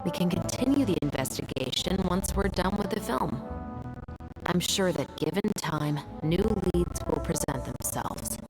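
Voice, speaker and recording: An actress playing a composed, methodical young woman detective in a video game, speaking in a measured, articulate and analytical way. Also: lowish voice, lively intonation, over music, poor audio. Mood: thoughtful, explanatory. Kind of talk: scripted video game dialogue.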